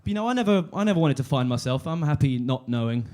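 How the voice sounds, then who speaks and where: A man in his twenties doing a deadpan stand-up set on a comedy club stage, halting with fillers and restarts. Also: on the high side. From London. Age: 20s.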